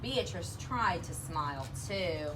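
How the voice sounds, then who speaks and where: A woman's voice: lowish voice, expressive, slow pace. A woman co-host reading a children's picture book aloud, with casual chat and short agreeing asides. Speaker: storybook reader, co-host. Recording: distant microphone, noisy room.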